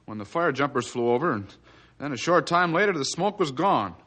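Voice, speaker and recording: A nosy, worried townsman in a radio drama. His voice is fairly high and bright, with emphatic, very lively intonation. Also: brisk. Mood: concerned. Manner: insistent, emphatic. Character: nosy, concerned small-town townsman. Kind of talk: radio adventure drama acting.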